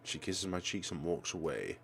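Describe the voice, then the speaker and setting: Low-pitched man reading a story dramatically. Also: expressive, normal speed, creaky, slightly rough, faint music behind. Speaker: let's player. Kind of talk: reading a visual novel aloud with commentary.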